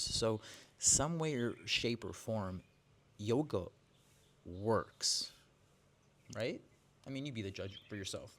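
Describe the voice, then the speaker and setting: A man in his forties, a yoga teacher, talking candidly in a casual vlog, with frequent "like"s and restarts. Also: lowish voice. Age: 40s. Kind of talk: casual vlog monologue to camera. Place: outdoors with birds nearby.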